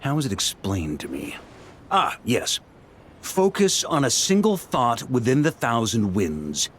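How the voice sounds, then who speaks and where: Lively, confident warrior leader. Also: male voice, mid-range voice, measured pace, husky, full character voice. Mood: thoughtful, composed. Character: confident seasoned male warrior leader, wry and easygoing. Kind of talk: video game character dialogue. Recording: background music under voice.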